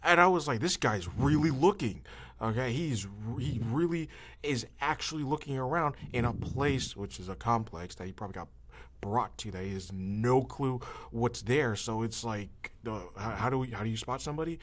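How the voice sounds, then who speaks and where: A man hosting a podcast in casual chat, with a halting, meandering way of talking. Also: mid-range voice, fairly bright tone.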